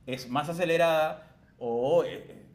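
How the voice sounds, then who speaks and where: A man's voice: fairly high pitch, slow pace. A man hosting a casual radio chat about music, teasing and laughing often. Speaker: radio host.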